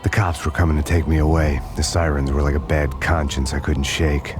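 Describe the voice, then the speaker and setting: Super deep grim noir cop voiceover, world-weary n vengeful, video game with music behind. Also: male voice, level tone, fairly quick. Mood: grim, brooding. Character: brooding, vengeful male ex-cop narrating his own grim story. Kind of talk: hardboiled noir voice-over narration.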